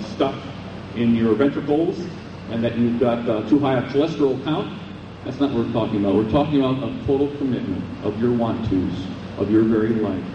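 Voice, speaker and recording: A man preaching earnestly to a camp audience, his voice worn hoarse and losing its edge. It is heard from a distance on a dull, hissy live tape. The sound carries the echo of a chapel room. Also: on the low side. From United States. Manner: earnest, exhorting. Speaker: camp speaker. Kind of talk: evening sermon to teens. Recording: echoey dull 1980s live tape from far off.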